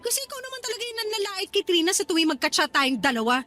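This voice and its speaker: A woman's voice: extremely high pitch, very animated, very fast, music in the background. A woman playing a smug, spiteful young coworker in a radio drama, taunting and unapologetic. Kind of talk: Tagalog radio drama acting.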